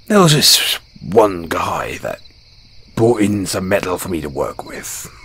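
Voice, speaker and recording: Casting: male actor as kindly battle-scarred dwarf smith, low-voiced and unhurried, humble fantasy roleplay storyteller, crickets faintly behind. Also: sing-song, fairly bright tone, a little hiss or hum, full character voice. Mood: fond wonder. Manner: folksy, chuckling, hesitant storyteller. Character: kind, modest, battle-scarred dwarven blacksmith, hearty adventurer. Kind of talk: fantasy audio roleplay, spoken to a companion.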